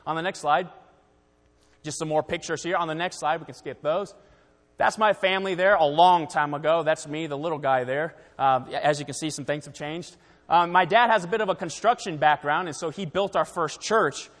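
Young guy raised in taiwan doing a missionary slideshow talk at church, earnest and chatty, fairly high voice, big hall reverb. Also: moderate pace, fairly bright tone. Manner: earnest, conversational, frequent fillers. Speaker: missionary speaker.

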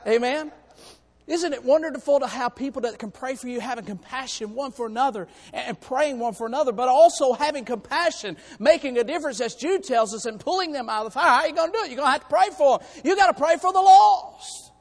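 A hoarse man on church staff preaching a sermon to his congregation, in a folksy way that calls out to them. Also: very high-pitched, fast, big-room sound. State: hoarse, has lost part of his voice. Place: church sanctuary.

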